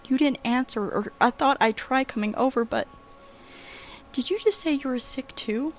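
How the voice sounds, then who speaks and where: A man's voice: very high-pitched, normal speed, voice pushed up. A man voicing a frightened young woman who pleads in a frantic, breathless way, with faint music behind. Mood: panicked.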